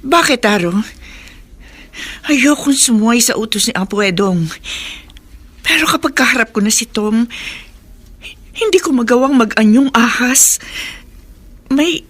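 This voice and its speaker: A woman's voice: on the high side, expressive, measured pace, long pauses, a bit bright. A woman acting a conflicted cobra shapeshifter torn by forbidden love, solemn and dramatic, in a Filipino horror radio drama.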